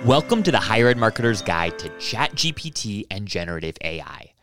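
Low-voiced upbeat male podcast host. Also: very animated, fairly quick, music in the background. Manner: upbeat, rambling with many restarts. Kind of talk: casual podcast interview.

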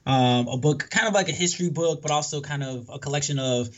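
A man born in the Dominican Republic, a podcast guest talking in a reflective, casual way, often tagging his points with "right?" and filling pauses with "um". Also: a little high, fairly bright tone, highs cut off. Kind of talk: casual conversational interview.

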